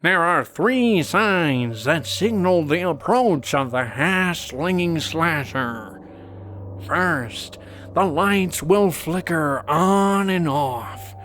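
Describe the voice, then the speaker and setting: A man voicing a droll, sour cartoon squid with drawn-out, dramatic storytelling. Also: a little high, lively intonation, fairly bright tone, faint music behind, full character voice. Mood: mock spooky. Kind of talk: amateur cartoon voice acting.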